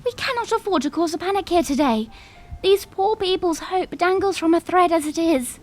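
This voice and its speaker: A woman's voice: very high voice, expressive, normal speed, soft background music. A woman playing an earnest, dutiful young princess with formal phrasing in a fan audio drama. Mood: urgent.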